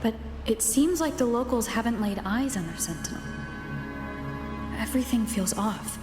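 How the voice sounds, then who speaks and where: A woman playing a calm, analytical young female wanderer in a game, thinking to herself in a measured, reflective way with an echo effect. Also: fairly high pitch. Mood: pensive. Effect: echoing reverb on inner thoughts. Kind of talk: video game inner monologue. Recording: long echoing reverb over music.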